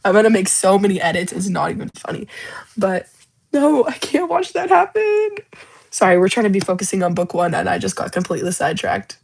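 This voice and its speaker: A woman's voice: medium pitch, big pitch swings, brisk, creaky, darkish tone, breathy edge. A young woman hosting a casual livestream, chatting about a book series in a gushing, emotional, rambling way that often repeats itself. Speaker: livestream host.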